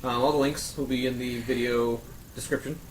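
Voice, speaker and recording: A man's voice: mid-range voice, level tone, moderate pace, a loud crowd. A man hosting a casual round-table chat among friends. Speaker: host of a round-table discussion show. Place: echoey room with a group of friends. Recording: roomy echo with clear background noise.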